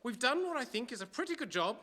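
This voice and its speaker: A man's voice: very high-pitched, very animated, fast talker, bright, forceful tone, full character voice. A man playing a boastful, quarrelsome veteran demon, strident and oratorical in a rhymed radio play. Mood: indignant. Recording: reverberant hall, faint crowd.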